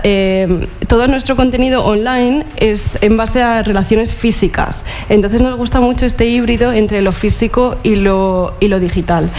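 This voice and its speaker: A woman's voice: fairly high pitch, slight background noise. Hesitant Spanish-speaking woman curator on stage. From Murcia, Spain. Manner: relaxed, hesitant, many fillers. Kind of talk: panel talk. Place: stage in a large auditorium.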